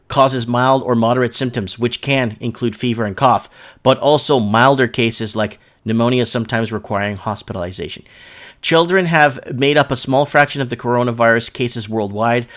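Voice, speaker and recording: A man's voice: medium pitch, fairly bright tone. A man hosting a casual livestream, talking in an informal, slangy, rambling way as he chats and reads comments and news aloud. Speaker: live show host.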